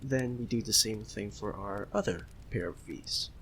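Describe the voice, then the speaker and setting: A man's voice: fairly low pitch, normal speed, slight background noise, lightly acted. A man playing a giddy, homesick young friend in an ASMR roleplay, chatting casually with a giggly, hesitant manner. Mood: cheerful, instructing. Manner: giggly, hesitant, softly excitable. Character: giddy, homesick young male Filipino friend, sweet and apologetic. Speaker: audio roleplay performer.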